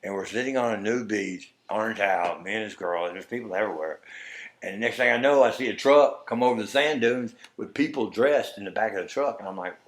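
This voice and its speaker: Texas guy, kinda low voice, rambles thru his story and does everyone's lines himself. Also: fairly quick. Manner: rambling, acts out quoted dialogue. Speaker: interview guest. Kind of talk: conversational storytelling.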